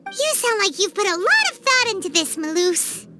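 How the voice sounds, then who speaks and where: A woman voicing a tiny, childlike fairy companion in a video game, squeaky, eager and naively inquisitive. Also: big pitch swings, normal speed, loud music behind the voice. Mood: curious. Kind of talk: video game cutscene voice acting.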